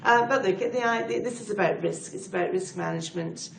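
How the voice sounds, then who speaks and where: A woman's voice: mid-range voice, a little fast, vocal fry, slight background noise. A middle-aged Scottish woman who talks in a chatty, fluent and self-deprecating way as an interview guest, sounding like she is in an echoey room. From Scotland. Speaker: guest, head of people advisory. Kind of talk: conversational interview answers.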